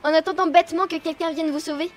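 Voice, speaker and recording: An actress playing a haughty, sharp-tongued young woman who mocks a pirate in a comic audio drama. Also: extremely high pitch, steady tone, fast talker, slight background noise. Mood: scornful. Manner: scornful and sarcastic.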